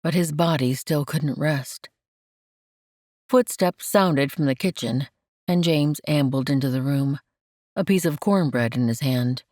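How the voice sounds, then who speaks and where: A woman narrating romance fiction from a script, in a measured and gentle manner. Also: low-pitched, no echo, clean studio sound. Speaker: audiobook narrator.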